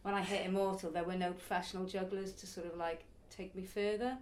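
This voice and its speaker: A woman's voice: medium pitch, little pitch movement, a little fast, a little hiss or hum. A woman in her early forties, a circus performer and youth circus teacher, answering interview questions in a chatty, reflective way. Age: early 40s. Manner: chatty, reflective, laughs now and then.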